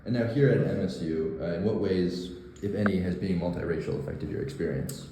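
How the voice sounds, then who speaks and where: A man conducting an interview, asking questions in a calm, measured way. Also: low-pitched, a little hiss or hum. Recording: echoey room.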